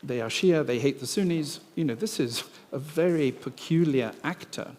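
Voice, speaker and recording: Brisk, chatty male moderator. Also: fairly high pitch, a little mellow. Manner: brisk, chatty, laughs lightly. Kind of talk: conference panel discussion. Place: conference hall. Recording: reverberant conference hall.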